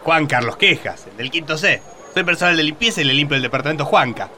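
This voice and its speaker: A man's voice: fairly high pitch, animated, fast, pushed and bright, slight background noise. An Argentine man playing a meek, bewildered patient who protests hesitantly in a radio comedy sketch. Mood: flustered.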